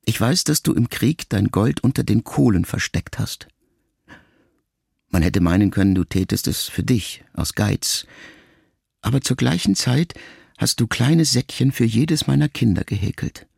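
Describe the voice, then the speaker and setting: Pensive son talking to his mother, radio play, really creaky gravelly voice, dry close sound, melancholy. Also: medium pitch, lively intonation, a little mellow, no echo, heavily acted. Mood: reflective, melancholy. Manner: measured, intimate, reflective. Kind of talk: literary radio drama monologue.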